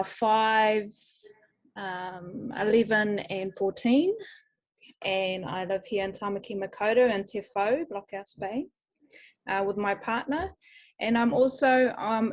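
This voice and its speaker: A woman speaks in a measured way, pausing now and then. The sound is dry and close. She is on a video call. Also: mid-range voice, no echo. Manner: measured, with pauses and ums. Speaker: panelist, researcher. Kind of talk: video call panel introduction.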